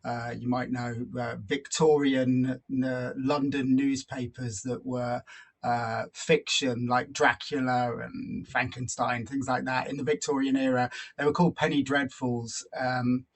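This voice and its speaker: A man speaking as an interview guest, a voice actor chatting casually and pausing to find his words. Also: medium pitch, little pitch movement.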